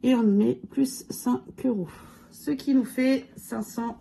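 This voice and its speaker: A woman with a cold and a hoarse voice, casually going through her budget challenges and counting money aloud with lots of fillers. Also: fairly high pitch, steady tone, slow, dark tone, slight background noise, rough recording. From France. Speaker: budget vlogger. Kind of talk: casual budget review talk.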